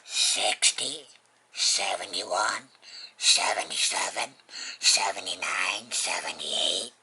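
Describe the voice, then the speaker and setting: Low-pitched vlogger of unclear sex, harsh bright edge, husky and breathy, gravelly, folksy, unhurried casual rambling. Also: rough recording. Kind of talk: casual rambling chat to camera.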